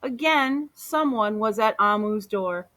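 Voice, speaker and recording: Bright, unhurried woman reading a storybook. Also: medium pitch. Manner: deliberate, animated storytelling with drawn-out words. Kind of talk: expressive read-aloud of a children's picture book.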